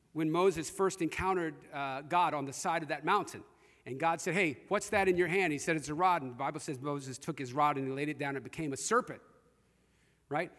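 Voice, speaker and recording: High-voiced male preacher in a reverberant hall. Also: fairly bright tone. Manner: conversational, addresses listeners directly. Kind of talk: sermon with storytelling and scripture reading.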